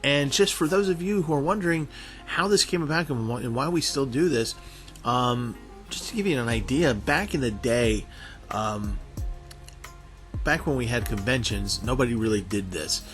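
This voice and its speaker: A man talks informally, as if hosting a small online show, with a mid-pitched voice. He rambles through announcements and repeats words as he stumbles along. Faint music plays behind him. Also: normal speed. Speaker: online convention panelist and show co-host. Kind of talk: casual promotional vlog, rambling announcement.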